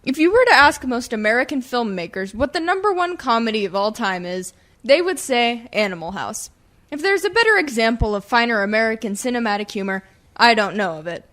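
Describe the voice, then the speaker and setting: A woman's voice: on the high side, sing-song, fairly quick, a bit bright. A woman reading a scripted comic introduction as the host of a radio play, in a mock-earnest way.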